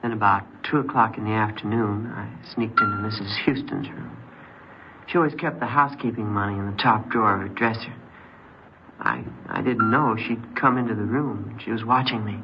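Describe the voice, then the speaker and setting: An actor playing a troubled, defiant teenage boy in a 1950s radio drama, speaking in a halting, youthful way. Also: on the low side, music in the background, lightly acted. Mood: halting, confessional. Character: troubled, defiant teenage boy confessing to theft and running away. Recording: noisy, muffled old radio broadcast.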